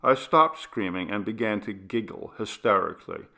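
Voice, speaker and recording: A man reading a ghost story aloud, in a slow, measured and grave way. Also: lowish voice, pushed and bright. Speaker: reader. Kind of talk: scripted ghost story narration.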